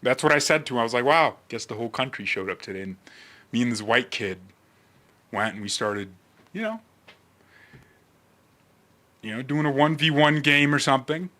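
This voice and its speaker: A man rambling casually and comedically to livestream viewers in a brash, mocking, animated manner. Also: medium pitch, normal speed, long pauses.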